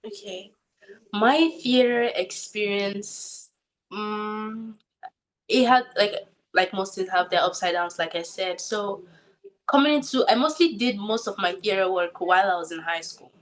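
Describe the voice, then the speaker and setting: A woman's voice: medium pitch, unhurried, rough recording. A young woman with a Nigerian accent, answering interview questions about acting in a thoughtful way, full of likes and restarts. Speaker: guest actor.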